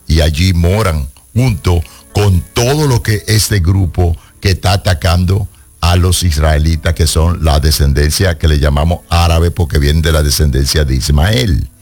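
A man hosting a radio call-in show as a pastor, teaching on the Bible and stressing points slowly. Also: deep voice, darkish tone. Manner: emphatic, slows down to stress points.

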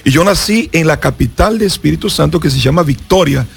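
A man's voice: a little high, animated, brisk, creaky, husky. A Brazilian man, a pastor and native Portuguese speaker, giving an earnest personal testimony in Spanish as a guest on a radio interview. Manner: earnest storyteller, acts out voices in his story.

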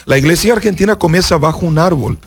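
A man's voice: a little high, fast talker, husky. A Brazilian man, a pastor and native Portuguese speaker, giving an earnest personal testimony in Spanish as a guest on a radio interview. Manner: earnest storyteller, acts out voices in his story.

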